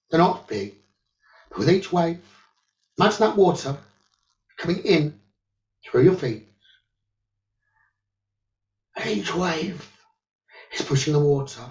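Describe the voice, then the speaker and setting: Slow, echoey man guiding a meditation. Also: medium pitch. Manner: gentle instructional phrasing with long pauses. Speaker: meditation teacher. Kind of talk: guided meditation. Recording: roomy echo, dull lo-fi sound.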